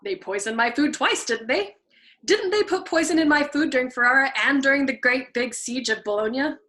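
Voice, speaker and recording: A woman reading aloud, voicing a wry, paranoid young male bombardier who speaks in a calm, innocent, deadpan way. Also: sits high, brisk.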